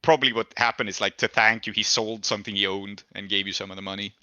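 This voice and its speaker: A man's voice: fairly low pitch, level tone, fast, bright, forceful tone, dry recording. A man running a tabletop game as game master, casually talking through his rulings and explaining his reasoning.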